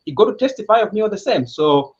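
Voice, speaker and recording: Guy hosting a video call panel, kinda high voice, muffled lo-fi sound. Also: animated, fast talker, darkish tone. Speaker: host. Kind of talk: online panel moderation.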